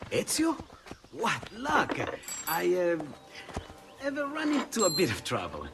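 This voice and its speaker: An actor voicing a friendly, evasive Renaissance inventor with a hesitant, modest manner in a video game. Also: male voice, high-pitched, wildly swooping pitch, a little slow, gravelly, noisy background, heavily acted. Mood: pleased, sheepish. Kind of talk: dramatic video game acting.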